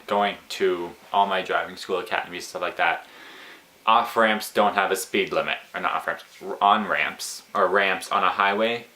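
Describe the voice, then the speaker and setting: A young man as a guest in a casual chat, explaining himself earnestly and haltingly with self-corrections. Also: low voice, sharp tone. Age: young adult. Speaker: guest, host's friend.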